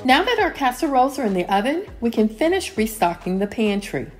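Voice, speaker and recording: A woman narrating a home cleaning vlog as a calm, scripted voice-over, steady and homey, with lines that fall at the end. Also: a little high, brisk, soft background music. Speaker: cleaning vlogger.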